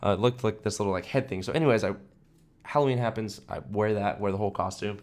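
Low-voiced young American man chatting casually. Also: fast. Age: young adult. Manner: enthusiastic, slangy. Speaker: podcast co-host. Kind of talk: casual chat, reminiscing.

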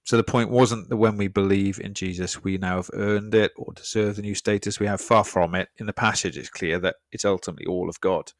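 A man's voice: on the low side, fairly even intonation, a little fast, few pauses, no room sound, clean studio sound. A man, a preacher, talking over his sermon in a casual church podcast chat, thoughtful and self-deprecating, often repeating words.

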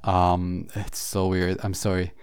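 Low, smooth-voiced man musing aloud. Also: monotone, a little mellow. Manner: halting, thinking aloud with many pauses and fillers. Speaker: podcast host. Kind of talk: solo reflective monologue. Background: faint background music.

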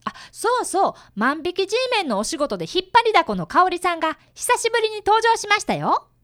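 A polite young boy detective, acted by a woman, high and very animated, recorded close and dry. He explains things earnestly in a youthful radio-drama manner. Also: a little fast, no room sound, exaggerated voice. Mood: cheerful, announcing. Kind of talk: radio drama acting with first-person narration.

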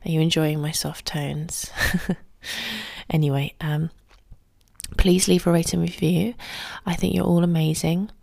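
A woman hosting a solo podcast, talking calmly and quietly, often close to a whisper, recorded at home early in the morning. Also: sits low, a little slow, creaky, no echo. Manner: soft, calm and quiet, often near a whisper. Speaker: podcast host and ballet teacher. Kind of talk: solo podcast advice talk.

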